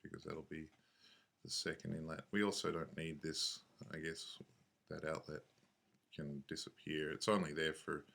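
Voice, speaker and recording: Low, flat-voiced man thinking aloud. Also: creaky. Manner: halting, thinking aloud, self-correcting. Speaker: software tutorial instructor. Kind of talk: unscripted screencast tutorial narration.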